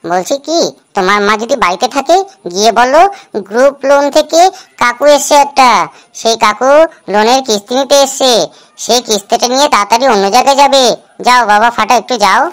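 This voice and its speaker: A woman's voice: fairly high pitch, fairly quick, a bit bright, close to an everyday voice. A woman voicing an exasperated, threatening male loan collector who scolds and complains in a comedy cartoon. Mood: coaxing.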